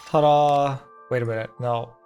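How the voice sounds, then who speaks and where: A woman's voice: very deep voice, steady tone, normal speed, warm tone, muffled recording, soft background music. A woman giving casual gameplay commentary, muttering to herself as she thinks things through. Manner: muttering to self while thinking. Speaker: gaming streamer.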